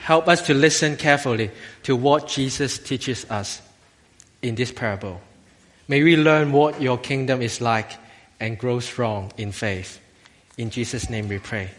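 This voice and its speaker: Halting Hong Kong man preaching, bright voice. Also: mid-range voice. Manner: halting, jokey, self-deprecating. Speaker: assistant lay minister preaching. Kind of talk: informal children's sermon to a congregation. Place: church, speaking to a congregation. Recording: long hall reverb.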